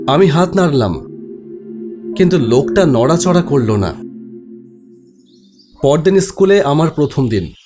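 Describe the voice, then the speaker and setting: A man's voice: a little high, sing-song, measured pace, pauses often. A man giving a dramatic, scripted first-person narration of a horror story. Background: background music.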